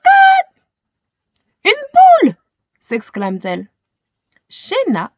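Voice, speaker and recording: A woman's voice: on the high side, darkish tone, no room sound. A woman hosting storytime, reading a children's story carefully and with dramatic expression. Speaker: storytime reader and host. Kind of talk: scripted children's storybook narration.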